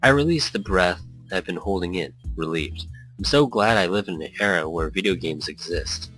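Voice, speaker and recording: Deep, bright-voiced man narrating a game. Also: normal speed, low-quality recording. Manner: rambling asides. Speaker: let's play host. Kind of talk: casual commentary and reading visual novel text aloud. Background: background music.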